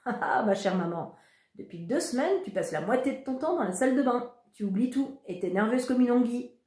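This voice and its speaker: A woman reading aloud voices a teasing teenage boy who mocks his family in a loud, cheeky way. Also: mid-range voice, animated, fairly quick, close to an everyday voice. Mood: mocking. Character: teasing, mocking teenage boy, big brother. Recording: roomy echo.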